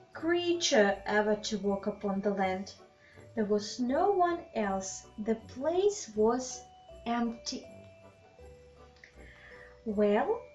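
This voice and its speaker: A woman reading a children's bedtime story aloud in an expressive, deliberate way, with asides to young listeners. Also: a little high. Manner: slow, deliberate, animated phrasing with questions to the child listeners. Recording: slightly dull, lo-fi sound with music.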